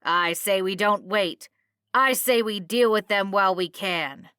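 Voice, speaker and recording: A woman voicing a cowardly, agitated male rabble-rouser in a storybook, with shrill, theatrical accusations. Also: measured pace, bright, forceful tone, clean tone, no room sound, studio quality. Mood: accusing.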